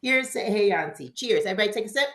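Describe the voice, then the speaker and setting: A woman's voice: medium pitch, animated, fast talker, a bit bright, echoey room. A woman in her fifties hosting a casual group chat, chatty and laughing often. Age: 50s. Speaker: host.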